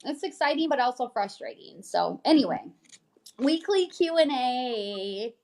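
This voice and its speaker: A woman's voice: high-pitched, lively intonation, measured pace, darkish tone. A woman co-hosting, reading news items and chatting about them in an upbeat, informal way. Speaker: co-host, realtor reading a newsletter. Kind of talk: casual chat while reading news items.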